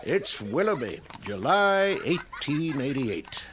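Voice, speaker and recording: A man's voice: on the high side, big pitch swings, slow pace, background noise. A man acting as a folksy, matter-of-fact train conductor from the 1880s in a radio drama. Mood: genial, matter-of-fact.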